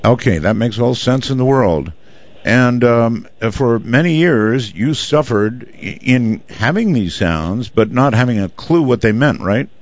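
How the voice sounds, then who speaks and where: Male radio host, medium pitch, inquisitive, loose phrasing, dull lo-fi sound. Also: animated, measured pace. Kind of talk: radio interview. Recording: dull lo-fi audio.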